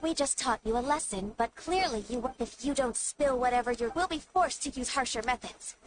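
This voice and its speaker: A woman's voice: sits high, a little fast, close to an everyday voice. A woman voicing an earnest, dutiful young secretary in a video game, polite and forthright, with noise on the recording. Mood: stern. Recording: clear noise over game audio.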